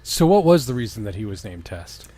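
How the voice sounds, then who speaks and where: A man's voice: on the low side, big pitch swings, a little fast, mellow. A man doing a comedic reading with casual riffing in a wry, sweary way. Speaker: co-host and reader.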